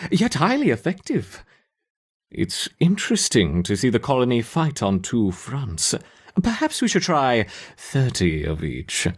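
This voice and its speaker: Creepy gleeful lab scientist for a video game, kinda raspy, super swoopy and theatrical. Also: male voice, mid-range voice, exaggerated voice. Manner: theatrical, relishing, mock-innocent. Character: gleefully sinister male scientist running cruel ant experiments. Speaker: lab researcher character. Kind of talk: video game character acting.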